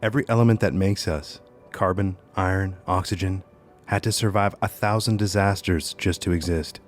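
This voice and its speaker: A man playing a quiet, melancholy, earnest young musician with measured, reflective phrasing in an audio drama. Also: low voice, steady tone, faint music behind, lightly acted. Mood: quiet wonder.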